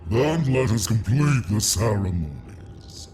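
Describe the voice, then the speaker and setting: A man's voice: lowish voice, big pitch swings, a little mellow, pitched above the performer's own voice. A man voicing an ancient, solemn, commanding monster from a fantasy audio drama, drawing out his words portentously, with background noise. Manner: slow, drawn-out, portentous. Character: ancient solemn prophetic jailer of souls, commanding monstrous being.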